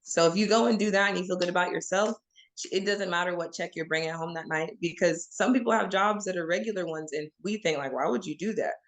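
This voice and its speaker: A woman's voice: lowish voice, fast talker. Frank, emphatic woman from Oklahoma. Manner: frank and emphatic, addresses listeners as y'all. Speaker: guest. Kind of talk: candid interview talk.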